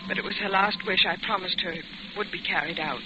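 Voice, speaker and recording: An actress playing a nervous, troubled young woman passenger in 1940s radio suspense style, with halting, dramatic delivery. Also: lowish voice, heavy background noise, lightly acted. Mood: uneasy, halting. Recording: muffled old radio broadcast, loud music behind.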